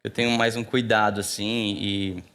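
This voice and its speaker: A man's voice: fairly low pitch, normal speed, smooth. A man in his thirties with a Brazilian accent, a musician talking casually as a TV guest, loose and filler-heavy. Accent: Brazilian Portuguese. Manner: relaxed, many fillers like "né" and "assim". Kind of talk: casual TV interview chat.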